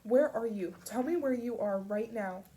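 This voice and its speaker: A woman's voice: medium pitch, normal speed, darkish tone, a little hiss or hum, close to an everyday voice. A woman voicing a young man who is angry at first, then worried and firm.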